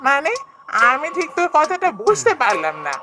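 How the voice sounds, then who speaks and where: A woman voicing a greedy, sly and excitable male village woodcutter in a cartoon fairy tale, with exaggerated delivery. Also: high-pitched, moderate pace, noisy background. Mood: sly, feigning. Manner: exaggerated, animated.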